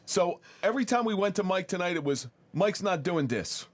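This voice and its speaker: A man's voice: high voice, lively intonation, normal speed. A man with a New Jersey accent hosting a podcast, casual and profane, laughing as he wraps up the show. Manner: swearing, laughing, joking outro. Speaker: podcast host.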